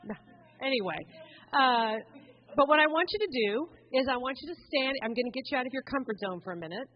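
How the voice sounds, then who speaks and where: A woman's voice: high voice, lively intonation, fast talker, highs cut off. A woman giving a chatty, interactive talk at a conference, often checking in with the room. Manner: chatty, upbeat, coaxing the crowd with "right?". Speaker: conference speaker. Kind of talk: interactive talk to a seated audience. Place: conference hall. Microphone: handheld mic.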